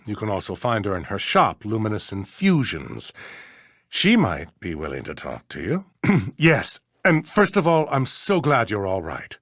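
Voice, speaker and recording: Older male judge, American accent, pompous, blustery, very animated intonation, dry studio sound, video game character. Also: on the low side, a little slow, no echo, full character voice. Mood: cordial. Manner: blustery, theatrical, throat-clearing, self-important.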